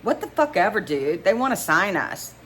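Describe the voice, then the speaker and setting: A woman voicing an excited, brash young male rock musician who swears and exclaims loudly in bro slang. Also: mid-range voice, normal speed, a little hiss or hum, voice pushed up. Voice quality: loud, exclaiming.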